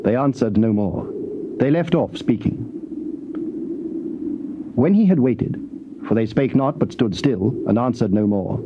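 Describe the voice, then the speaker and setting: A dull, noisy tape recording of a male narrator reading scripture. His voice sits at a middle pitch, and his delivery is formal and measured. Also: animated. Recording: muffled tape transfer, noisy.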